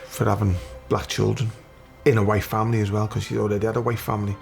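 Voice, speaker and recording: A man's voice: fairly low pitch, flat delivery, quick pace, vocal fry, faint music behind. A man, reflectively telling his family history in a documentary interview. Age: 50s.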